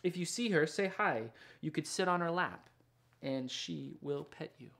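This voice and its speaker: Gentle man reading a picture book. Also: on the high side, measured pace, gravelly. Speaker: children's picture book author and illustrator. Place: small indoor studio lined with books and records.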